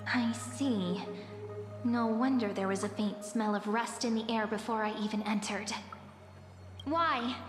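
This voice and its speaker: A woman's voice: sits high, lightly acted. A woman playing a perceptive young confidante in a game cutscene, asking things in a formal, probing way. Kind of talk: dramatic game cutscene acting. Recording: echoey reverberant hall sound. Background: music.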